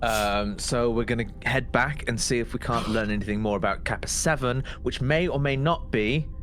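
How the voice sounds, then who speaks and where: A man's voice: medium pitch, normal speed, fairly bright tone, soft background music. A man casually recapping events as a player in a tabletop roleplaying game, hesitating, repeating words and trailing off.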